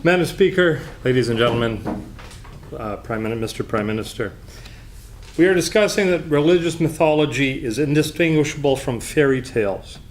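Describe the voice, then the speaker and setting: A man delivering an off-the-cuff debate speech as opposition leader, formal and deliberate, with halting phrasing. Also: medium pitch, expressive. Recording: background noise, live room.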